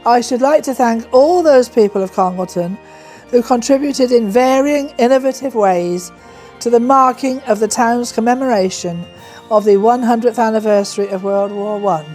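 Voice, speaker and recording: A woman's voice: a little high, moderate pace, a little mellow, soft background music. A woman reading scripted documentary narration.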